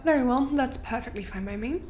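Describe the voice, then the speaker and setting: A woman's voice: fairly high pitch, a little fast, darkish tone, a little hiss or hum, natural voice. A woman playing an unrepentant, smugly amused exiled doctor in an audio drama, articulate and theatrical with a sardonic edge. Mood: cool, knowing.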